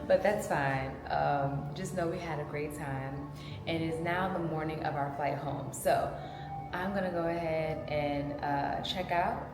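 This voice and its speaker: A young adult woman talking casually to her viewers as a travel vlogger, chatty and familiar. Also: low-pitched. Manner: chatty and warm, addresses viewers as y'all. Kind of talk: casual talk to camera. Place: Texas home setting.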